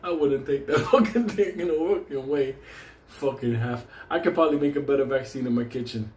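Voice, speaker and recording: A man's voice: mid-range voice, brisk, darkish tone, reverberant, slight background noise. A man from Puerto Rico whose first language is Spanish, telling profane, rambling stories and laughing often as host of his own show. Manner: rowdy, laughs often, swears constantly. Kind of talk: casual profane storytelling monologue.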